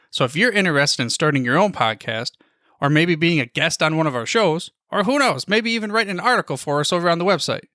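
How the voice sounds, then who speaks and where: A man's voice: on the high side, sharp tone, no echo, studio quality. A man giving a chatty, upbeat promo as a podcast network host, with a folksy manner.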